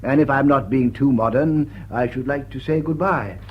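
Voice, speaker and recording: An actor playing an earnest, awkward male lord, halting and very formal, in a vintage drama. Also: mid-range voice, a little hiss or hum, lightly acted. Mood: shy, courteous. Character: stuffy, earnest, awkward titled gentleman. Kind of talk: vintage drawing-room drama acting. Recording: dull lo-fi vintage soundtrack.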